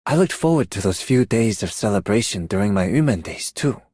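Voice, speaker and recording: An actor playing a genial, reminiscing soldier in a fantasy game, talking in a relaxed, conversational way. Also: male voice, fairly low pitch, fairly quick, husky. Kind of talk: game character voice line.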